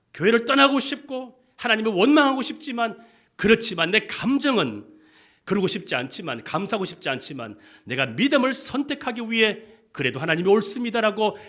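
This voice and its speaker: A man's voice: high voice, fairly bright tone. A male senior pastor preaching a sermon with passion, asking the congregation questions and having them repeat after him. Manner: impassioned preaching with call-and-response questions.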